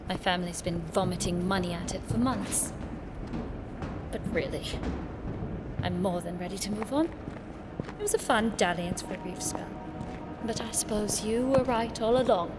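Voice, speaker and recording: A woman's voice: medium pitch, moderate pace, pauses often, over loud music, lightly acted. Woman playing a sarcastic, fiery young noblewoman. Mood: wounded, brisk. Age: young adult. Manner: arch, biting, formal wit. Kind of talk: audio drama acting.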